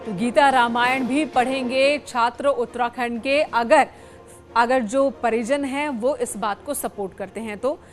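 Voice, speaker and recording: A woman's voice: a little high, fairly even intonation, unhurried, soft background music. A woman presenting television news as an anchor.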